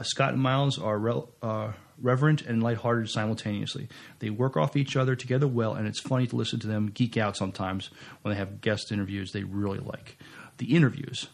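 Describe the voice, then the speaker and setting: Gravelly, low-key man co-hosting a podcast. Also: steady tone, brisk. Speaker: podcast co-host. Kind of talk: casual podcast chat, reading listener reviews.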